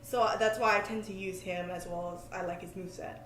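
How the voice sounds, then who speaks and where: A woman's voice: mid-range voice, steady tone, a little fast, a bit bright. Echoey, scatterbrained woman podcaster chatting. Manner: scatterbrained, self-deprecating, jokey. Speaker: podcast host. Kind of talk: casual, chatty podcast talk. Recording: echoey room, faint background noise.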